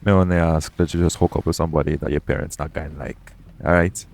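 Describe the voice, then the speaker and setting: Man playing low-voiced pirate captain. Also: steady tone, fast talker, gravelly, a little mellow. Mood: guarded, wistful. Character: shady, guarded pirate captain, roguish yet gentle. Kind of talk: intimate audio roleplay acting addressed to the listener.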